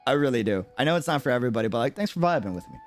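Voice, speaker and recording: Male game streamer, lively swooping intonation, earnest chatty explainer, faint music behind him. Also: mid-range voice, very fast. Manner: conversational, earnest, explaining by examples. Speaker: game livestreamer. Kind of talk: casual livestream commentary.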